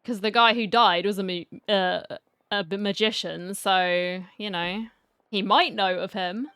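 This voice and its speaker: A woman's voice: medium pitch, fairly even intonation, a little slow, a bit bright, clean tone, no echo, clean studio sound. A woman streamer giving casual playthrough commentary, with playful, wry asides. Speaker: game streamer.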